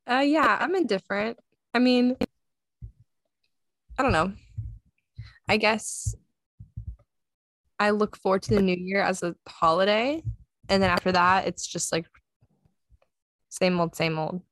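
A woman's voice: medium pitch, measured pace, pauses often, a bit bright, dry recording. A young woman with a Southern US accent, co-hosting a podcast in a teasing, offhand casual chat. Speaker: podcast co-host. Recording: dry, close sound.

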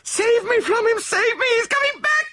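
Hysterical, guilt-ridden widow: very high, shrill, pleading, melodramatic storybook style. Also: male voice, lively intonation, normal speed, bright, forceful tone, slight background noise, heavily acted. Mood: hysterical terror. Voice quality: shrill, gasping.

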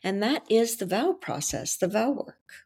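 A woman's voice: medium pitch, measured pace, slightly rough. A woman from Belgium whose first language is French, a wedding coach and officiant explaining her work in a confident, theatrical podcast interview. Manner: expressive, theatrical delivery.

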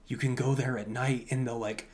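A young adult man with a mid-pitched voice talks as a podcast host. His delivery is loose and conversational, wandering through his thoughts as he answers listener questions. He leans on "like" constantly, keeping it very informal. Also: steady tone, moderate pace, darkish tone. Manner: informal, conversational, heavy use of "like".

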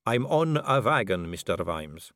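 A man's voice: lowish voice, big pitch swings, a bit bright, dry recording, clean studio sound. Man voicing a silky aristocratic lady vampire. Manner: slow, silky, languid delivery. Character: suave, poised aristocratic female vampire.

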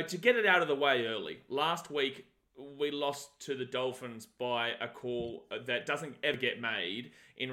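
A passionate man argues his side in a casual sports podcast. His voice is bright and forceful. He talks like a fired-up fan. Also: medium pitch. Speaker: podcast co-host.

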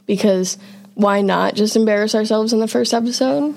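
A young woman in college co-hosts a podcast with a friend, trading casual chat. She giggles often and pokes fun at herself while telling stories. Her voice sits in a middle pitch and stays conversational. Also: normal speed, vocal fry, a little mellow, slight background noise. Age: young adult. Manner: giggly, self-deprecating storyteller. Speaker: podcast co-host.

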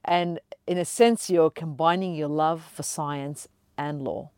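A woman's voice: deep voice, fairly even intonation, unhurried, darkish tone, clean tone, no room sound, studio quality. A woman hosting a podcast interview, encouraging her guest, talking with lots of hesitations and fillers. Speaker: podcast host. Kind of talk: conversational interview.

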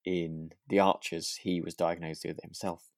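A man co-hosting a podcast, talking casually in a gentle, hesitant way. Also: low voice, level tone, moderate pace, vocal fry, dry recording, studio quality. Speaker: podcast co-host. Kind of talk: casual chat discussing a radio drama.

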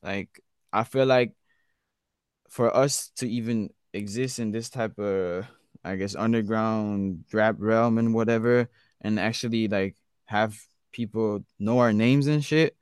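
Laid-back young man, low smooth voice. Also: a little slow, no room sound, studio quality. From Switzerland. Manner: laid-back slang, says "bro" often. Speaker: guest artist. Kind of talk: casual interview chat.

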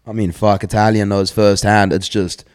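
A young man with a South African accent that has picked up a slight American twang. His voice sits fairly low, and he talks in a loose, rambling way, swearing often in casual conversation. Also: flat delivery, moderate pace, smooth. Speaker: guest. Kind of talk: casual chat.

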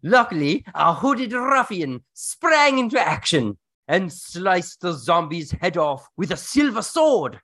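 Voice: medium pitch, sing-song, takes it slowly, fairly bright tone, exaggerated voice. A performer playing a spooky storyteller narrating a melodramatic radio drama with theatrical, suspenseful phrasing.

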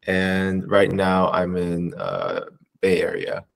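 A man in his twenties with an American accent, answering interview questions casually in a hesitant, halting way with many pauses. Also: low-pitched, sing-song, creaky. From Southern California, United States. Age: 20s. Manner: hesitant, halting, pausing often between short phrases. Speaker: interviewee, community advocate.

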